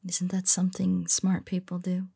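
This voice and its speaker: A woman playing a husky, whispering superhero. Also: fairly low pitch, monotone, normal speed, no room sound, exaggerated voice. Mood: tender, confessional. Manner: hesitant and soft-spoken, with many restarts. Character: tender, nervous young female superhero. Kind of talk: audio roleplay for a listener.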